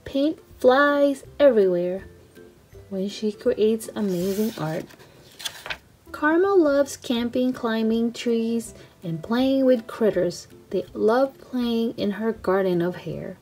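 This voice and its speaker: A woman reading a children's storybook aloud in an expressive, simple storytelling manner for young children. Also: a little high, takes it slowly, faint music behind, rough recording. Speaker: read-aloud channel host.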